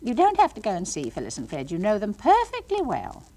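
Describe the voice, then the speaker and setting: English nursery school teacher for comic monologue. Patient but firm, patronising sing-song coaxing, hugely animated pitch. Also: female voice, fairly quick. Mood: firm, explaining. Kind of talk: comic monologue, one side of classroom talk.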